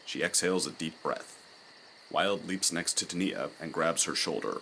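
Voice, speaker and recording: A man's voice: sits low, normal speed, bright, forceful tone, breathy edge, rough recording. A man reading scripted, dramatic third-person story narration for a fantasy audio drama.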